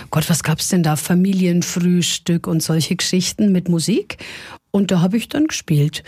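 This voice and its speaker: A woman's voice: fairly low pitch, mellow, husky, dry recording, clean studio sound. A middle-aged woman from Franconia, a singer-songwriter guest, chatting in an anecdotal way with many fillers in a radio interview. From Franconia, Germany. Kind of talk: casual storytelling in a radio interview.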